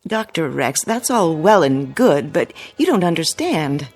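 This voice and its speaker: An actress playing a polite, flustered mother in a radio drama, deferential and hesitant, gently chiding. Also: sits low, sing-song, measured pace, slightly rough, soft background music, heavily acted. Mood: worried. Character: polite, flustered, apologetic mother of a grown daughter.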